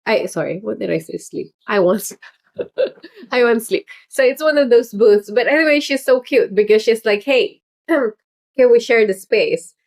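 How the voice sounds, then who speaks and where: A woman's voice: fairly high pitch, expressive, a little slow, vocal fry, a little mellow. A middle-aged woman hosting a casual livestream as a political candidate, talking in a chatty, rambling way with lots of fillers and little laughs. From Philippines heritage.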